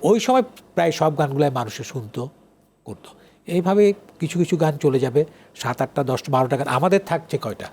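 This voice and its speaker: Older Bangladeshi man, slightly high-pitched voice. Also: lively intonation, a little fast, a little mellow, slightly rough. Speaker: guest, song lyricist and writer. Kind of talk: casual conversational interview talk.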